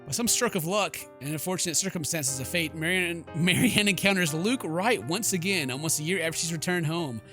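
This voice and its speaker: A man's voice: fairly high pitch, brisk, hardly any pauses, a bit bright. A man hosting a gaming video, reading story text aloud in a dramatic way and breaking off into casual, jokey asides. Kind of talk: let's play, reading game text aloud with casual reactions. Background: game music.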